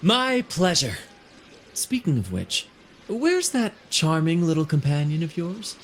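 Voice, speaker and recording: A man's voice: fairly high pitch, big pitch swings, measured pace, slight background noise. An actor playing a genial, flamboyant ship captain and showman in a video game, speaking with grand, flowery flair. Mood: jaunty, showy. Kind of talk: theatrical video game dialogue.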